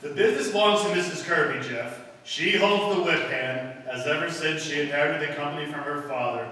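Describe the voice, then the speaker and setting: A man's voice: fairly high pitch, fairly quick, bright, forceful tone. A man playing a resigned, hesitant, henpecked business executive in a 1940s-style radio drama. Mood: resigned, placating. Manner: hesitant, placating. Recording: distant echoey hall, faint noise.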